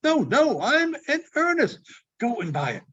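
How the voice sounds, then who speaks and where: A fervent old man, a reformed miser out of a storybook, played aloud by a male reader. His high voice leaps about as he exclaims, stammers and repeats himself. The recording is dull and slightly muffled. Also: huge pitch swings, unhurried, a bit bright, breathy edge, exaggerated voice. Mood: giddy, excited. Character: excitable elderly man, repentant miser, fervent and overjoyed.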